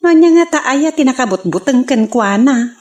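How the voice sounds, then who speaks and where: A woman's voice: sits high, lively intonation, moderate pace, darkish tone. A woman playing an amused, gossipy friend in an Ilocano radio comedy drama, drawling with an Ilocano accent. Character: amused, gossipy female friend relishing others' comeuppance.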